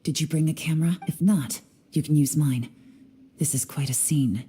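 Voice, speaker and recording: A woman voicing a cool, composed investigator in a video game, hushed and quietly in command. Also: low-pitched, moderate pace, a little hiss or hum, natural voice.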